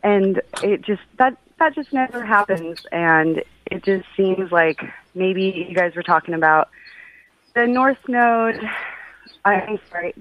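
A middle-aged woman speaking reflectively and personally, haltingly searching for her words over a call. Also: mid-range voice. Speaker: guest giving feedback on an astrology reading. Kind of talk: personal, reflective casual talk. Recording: phone-like call with some echo.

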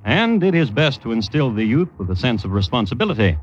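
An actor playing a wry narrator of a grim sea tale in a scripted old-time radio drama. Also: male voice, medium pitch, big pitch swings, brisk, lightly acted. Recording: muffled, noisy old radio recording.

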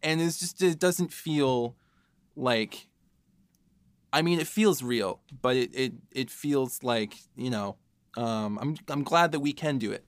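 A man's voice: medium pitch, dry recording. A young man chatting casually and reflectively, informal, often breaking off and starting his thought again. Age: young adult. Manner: halting, self-interrupting, informal. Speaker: podcast co-host, writer.

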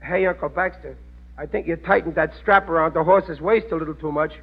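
A man's voice: a little high, a little fast, slightly rough. An actor playing a bumbling, grumbling working-class father in a vintage radio comedy, with folksy, ungrammatical speech. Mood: bossy, grumbling. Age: middle-aged. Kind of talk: 1940s-50s radio comedy acting. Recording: muffled, noisy old radio recording.

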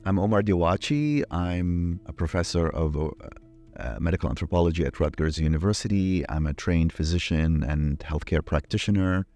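Very deep-voiced man from Iraq. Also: moderate pace, darkish tone, soft background music. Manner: hesitant, many fillers and restarts. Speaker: guest, anthropology professor. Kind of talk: thoughtful interview answers.

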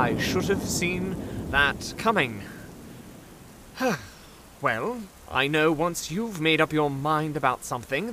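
A high, swooping, petulant warlock played by a man in a comic fantasy audio drama. He protests with exasperated sarcasm over noticeable background noise. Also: a bit bright, full character voice. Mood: resigned sarcasm. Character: fussy, indignant male warlock, sarcastic and exasperated.

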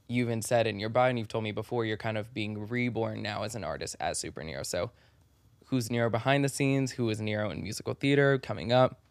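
A man's voice: fairly low pitch, clean tone, no echo. A man hosting a casual, chatty interview in an effusive, complimentary manner. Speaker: podcast host interviewing a guest.

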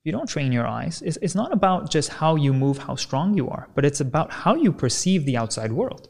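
Gravelly-voiced man explaining. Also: a little high, brisk. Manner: energetic teaching tone, frequent "right?" checks. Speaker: kinesiologist guest. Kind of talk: explanatory podcast conversation.

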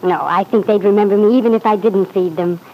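An actress playing a sweet, anxious young wife, eager and placating, in an old-time radio soap opera. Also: female voice, medium pitch, quick pace, mellow, a little hiss or hum, lightly acted, low-quality recording.

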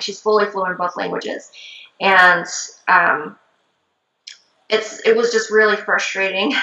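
A woman's voice: mid-range voice, gravelly. A woman raised from Korea whose first language is Korean, a shaman chatting casually in an interview with lots of ums and likes. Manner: relaxed, many fillers like um and like. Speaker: traditional shaman. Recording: roomy, slightly dull sound.

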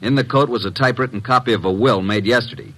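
An actor playing a polite, confident detective's assistant in an old-time radio drama, speaking in brisk statements. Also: male voice, mid-range voice, fairly bright tone. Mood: businesslike.

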